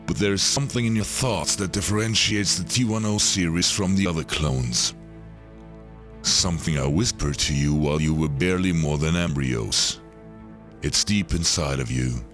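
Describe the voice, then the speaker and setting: Old Jedi Master hologram, played by a man: extremely low, solemn, measured, fatherly; audio drama with clear music underneath. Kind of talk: audio drama character acting. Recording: music behind the voice.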